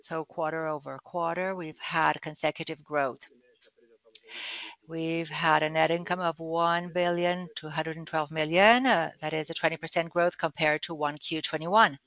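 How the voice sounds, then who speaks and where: A hoarse man, a chief financial officer, presenting quarterly financial figures on an earnings call with occasional fillers. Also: fairly high pitch, normal speed, dry recording. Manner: steady reading of figures with fillers.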